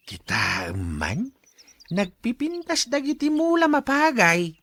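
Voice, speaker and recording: An actor playing an older uncle who praises his nephew's farm, hearty and quick to laugh. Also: very high voice, huge pitch swings, fairly bright tone, heavily acted. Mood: approving. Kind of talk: audio drama acting.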